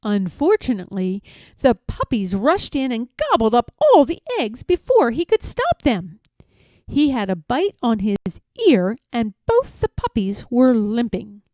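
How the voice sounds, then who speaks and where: A woman reads a children's story aloud with very lively, swooping intonation. Her voice is fairly high yet mellow, and the recording is close and dry. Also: a little slow, no room sound.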